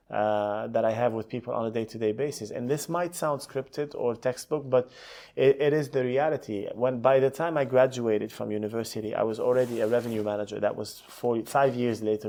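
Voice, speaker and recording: Man, middle-aged, medium pitch, interview storytelling, executive guest. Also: gravelly, a little mellow. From Lebanon. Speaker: podcast guest, hotel executive. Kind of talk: conversational interview answers, career storytelling.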